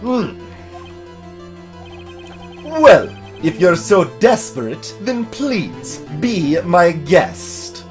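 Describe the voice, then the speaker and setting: A menacing, smug older male prosecutor from a courtroom game, put on by a man. He drawls with theatrical, mocking pomp, stretching words slowly and sweeping in pitch. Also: very animated, soft background music, exaggerated voice. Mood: smug threat.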